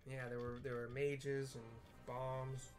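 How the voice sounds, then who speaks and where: A man's voice: medium pitch, completely flat delivery, takes it slowly, soft background music. Man narrating gameplay playfully. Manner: playful, describes on-screen action aloud. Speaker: game narrator. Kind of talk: casual gameplay narration with audio description.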